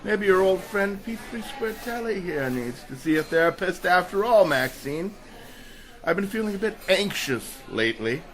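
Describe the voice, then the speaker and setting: An actor in a radio mystery playing a suave, rich amateur sleuth with a curious, dryly playful manner. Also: male voice, high voice, animated, moderate pace, background noise. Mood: intrigued. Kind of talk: radio mystery drama acting.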